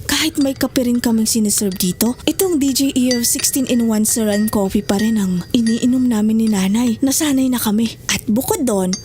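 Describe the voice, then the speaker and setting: A woman's voice: on the high side, normal speed, few pauses, over music, natural voice. A woman playing a generous, chatty young woman, the boss's daughter, talking in a friendly, coaxing way in an audio drama.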